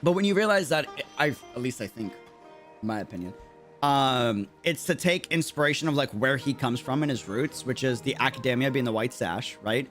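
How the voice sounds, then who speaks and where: A man's voice: medium pitch, expressive, moderate pace, a bit bright. A young man from Latin America giving casual livestream commentary as a game streamer, chatty and slangy, often thinking aloud. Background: video game music.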